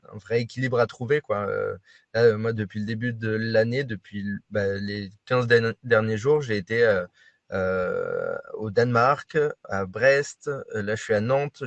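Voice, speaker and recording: A man talking as a guest on a panel about working in sport, animated and full of hesitations. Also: lowish voice, dry recording. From France and Brazil. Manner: animated, hesitant with many fillers. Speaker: guest, sports club employee. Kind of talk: panel discussion answers.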